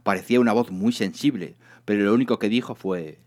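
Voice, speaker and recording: A man narrating a comic story in a theatrical, expressive scripted reading. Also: on the low side, fairly quick. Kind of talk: dramatised comic audiobook narration.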